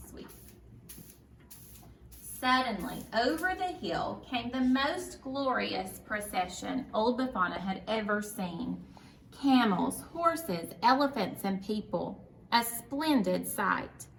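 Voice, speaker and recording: A woman's voice: medium pitch, expressive, slow pace, vocal fry. A woman reading a children's story aloud as a storyteller, in scripted narration. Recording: roomy, distant mic, faint noise.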